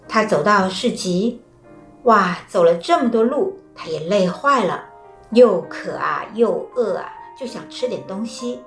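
A woman narrating a children's story in a lively, expressive way. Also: medium pitch, measured pace, vocal fry. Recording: roomy, with faint music.

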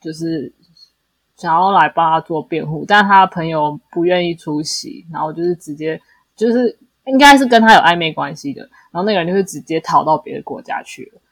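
A woman's voice: low voice, fairly quick, no echo. A woman with a Taiwanese Mandarin accent co-hosting a casual book discussion, explaining things hesitantly and often correcting herself. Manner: hesitant, self-correcting explanations with many repeated affirmations. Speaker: podcast co-host.

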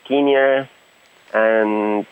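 A man's voice: on the low side, fairly even intonation, clean tone. Young man from Honduras, tinny phone line. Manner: halting, searching for words. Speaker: guest footballer. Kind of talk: radio interview.

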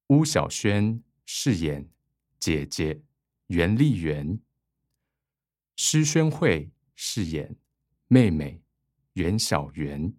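A male narrator reads scripted stage directions for a radio drama. His voice is low and unhurried, recorded close and dry. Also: a little mellow, no echo.

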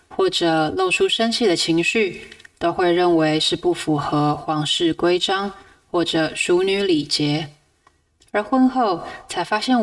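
A woman's voice: fairly low pitch, dry recording, far from the mic. A woman, narrating a scripted book summary as a podcast host. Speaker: podcast host reviewing books.

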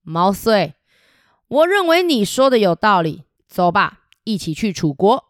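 A woman's voice: mid-range voice, sing-song, a little slow, no echo, studio quality. A woman voicing a male nobleman who speaks effusively and exclaims with admiration. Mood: approving.

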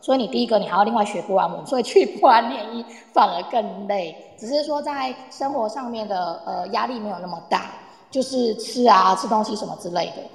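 A woman's voice: medium pitch, lively intonation, brisk, creaky. A woman with a Taiwanese Mandarin accent explaining things casually as a guest presenter, mixing in English words. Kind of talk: casual explanatory talk with audience. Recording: echoey, reverberant room sound.

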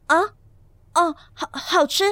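An actress playing a flustered, eager young office employee with a crush in a romantic comedy radio drama, hesitant and full of little interjections. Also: female voice, very high-pitched, steady tone, slow, bright, forceful tone, full character voice. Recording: roomy reverb, music behind.

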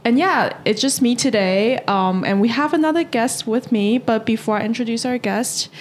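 A woman's voice: a little high, moderate pace, slight background noise. A young woman from Taiwan hosting a podcast, talking casually and hesitantly with lots of "kinda, like.". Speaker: podcast host.

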